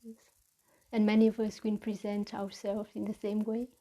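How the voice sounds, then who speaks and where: A woman with a Vietnamese accent giving a reflective mindfulness talk as a meditation teacher, with halting, deliberate phrasing. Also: medium pitch, completely flat delivery, dark tone.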